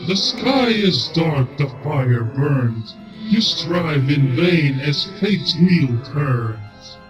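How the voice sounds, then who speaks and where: A man's voice: fairly high pitch, expressive, drawn-out words. A man playing a menacing undead headless horseman villain, declaiming theatrically in rhyme. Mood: taunting. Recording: muffled, music behind.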